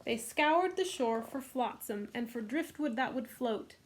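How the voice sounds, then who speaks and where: A woman's voice: fairly high pitch, a little slow, slight background noise. A woman hosting a children's storytime, reading a picture book expressively with casual asides. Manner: expressive, voices the characters' lines.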